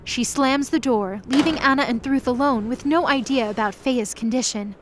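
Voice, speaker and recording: A woman's voice: sits high, moderate pace, background noise. A woman reading scripted narration for an audio drama story.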